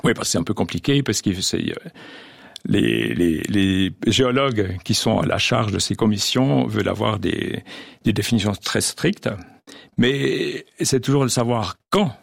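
Older male scientist guest, low and gravelly, halting speech full of restarts, dry close sound. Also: animated, dry recording. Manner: hesitant, many restarts and fillers. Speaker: guest geologist and oceanographer. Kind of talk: radio interview, casual explanation.

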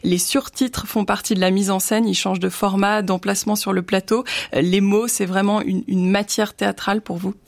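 Brisk woman radio presenter, dry studio. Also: mid-range voice, steady tone, no echo. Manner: brisk, clear presenter delivery. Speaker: radio journalist, host. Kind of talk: radio culture segment and news.

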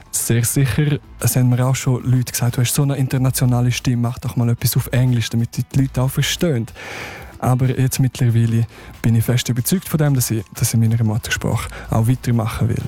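A man's voice: mid-range voice, little pitch movement, fast, warm tone. A man with a Romansh-tinged Swiss accent, a singer, speaking earnestly and reflectively about his singing. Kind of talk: interview soundbite.

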